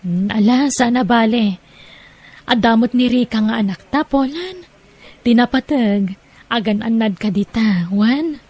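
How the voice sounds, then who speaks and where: A woman playing a gentle, devoted wife and loving stepmother in an audio drama, with a soft, tender delivery. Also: a little high, lively intonation, measured pace, slightly rough. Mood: affectionate, calm.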